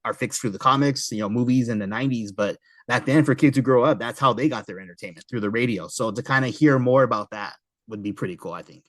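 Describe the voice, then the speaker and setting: Quick-talking man with a dry, close sound. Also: medium pitch, dry recording. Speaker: podcast host. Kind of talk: casual chat about comic books.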